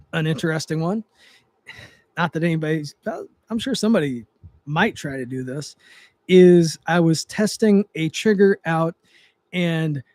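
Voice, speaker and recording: A young man with a fairly high voice chats loosely to his livestream viewers from a chair at home, often restarting and trailing off with fillers. His voice is getting slightly dry. The close mic gives a dry, unreverberant sound. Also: a little mellow, dry recording. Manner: rambling, with frequent restarts and fillers. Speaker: livestream host, trigger tuner. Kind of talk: casual livestream chat.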